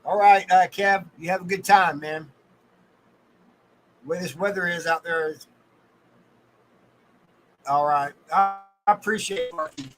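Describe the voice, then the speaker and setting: A man's voice: sits high, unhurried, pauses often, over music, low-quality recording. An American man hosting a casual livestream, talking in a folksy, colloquial way and addressing his viewers by name. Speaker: livestream host.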